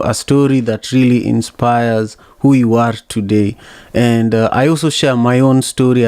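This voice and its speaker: A man from Kenya hosting a podcast interview, asking questions in a measured, steady way. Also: medium pitch, no echo, studio quality. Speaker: podcast host.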